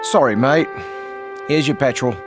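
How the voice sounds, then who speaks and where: A man's voice: mid-range voice, unhurried, over music, lightly acted. An actor playing a chummy, cheeky British army fuel man in a war game, with a Cockney accent and slangy delivery. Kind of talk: video game cutscene acting.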